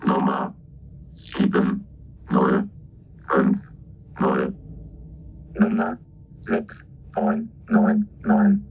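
An actor playing a formal military negotiation robot in a radio drama, with stiff, clipped, formulaic delivery. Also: male voice, high-pitched, wildly swooping pitch, drawn-out words, pauses often, exaggerated voice. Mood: mechanical, formal. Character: two military negotiation robots exchanging coded messages, formal, mechanical. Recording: dull, noisy recording.

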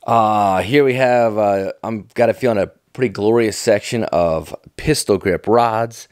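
A man's voice: lowish voice, unhurried, a little mellow, no room sound, clean studio sound. A middle-aged American man giving casual, off-the-cuff commentary in a folksy, enthusiastic, nostalgic manner. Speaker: fishing tackle vlogger.

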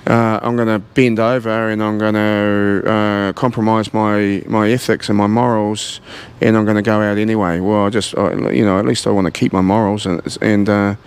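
A man in his forties with a New Zealand accent, talking earnestly and haltingly about his gym in a casual interview, recorded on a handheld mic in a large indoor gym. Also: lowish voice, level tone, clear, resonant tone. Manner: earnest, halting, many fillers and restarts. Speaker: gym owner.

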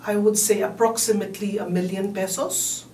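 Woman, 50s, measured; low mellow voice, echoey distant recording. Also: steady tone, a little hiss or hum. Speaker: interviewee. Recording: echoey room, distant mic.

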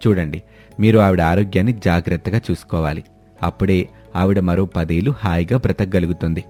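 Cartoon doctor, calm and advising, low-voiced, played by a man. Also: faint music behind. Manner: measured, advising.

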